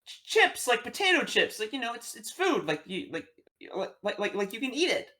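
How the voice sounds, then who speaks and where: A man's voice: very high-pitched, sing-song, moderate pace, bright, forceful tone, exaggerated voice. Guy doing a flustered party host dude, over-explains, kinda stammery.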